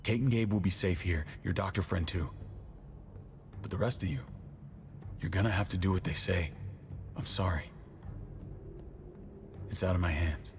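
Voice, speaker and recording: Grave military man for game drama, very deep, measured and resigned, light music under. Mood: resigned, urgent. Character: stern male soldier, protective but resigned. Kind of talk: naturalistic dramatic game acting. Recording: faint music behind the voice.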